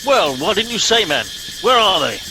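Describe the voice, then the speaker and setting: An actor playing a pompous, blustering middle-aged English starship captain in a comic radio play, giving clipped orders. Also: male voice, high-pitched, sing-song, moderate pace, noisy background. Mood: indignant. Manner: blustering, officious, clipped commands.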